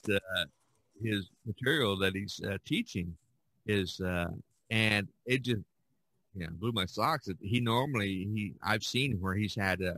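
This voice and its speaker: A man's voice: low voice, a bit bright, no room sound. A man hosting a podcast, chatting casually in a rambling, conversational way. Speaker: podcast host. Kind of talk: casual chat.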